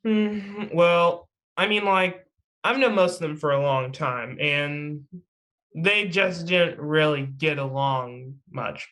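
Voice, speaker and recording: A man's voice: fairly high pitch, slow pace, pushed and bright. A man playing a chatty, teasing young superhero in an amateur table read, with casual delivery. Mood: friendly, reflective.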